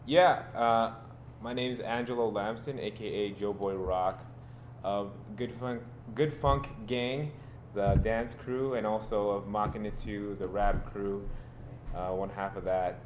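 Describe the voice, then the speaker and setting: A man's voice: on the low side, fairly even intonation, a little slow, roomy sound, a little hiss or hum. A young man, a rapper, answering interview questions in a laid-back, joking way. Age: young adult. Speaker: guest rapper. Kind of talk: casual interview.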